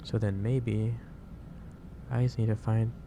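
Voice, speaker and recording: A man playing a gentle, caring office boss in an ASMR roleplay, speaking haltingly with repeated phrases over a noisy background. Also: on the low side, level tone. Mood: gently concerned. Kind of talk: soft-paced ASMR roleplay monologue. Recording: clearly noisy background hiss or ambience.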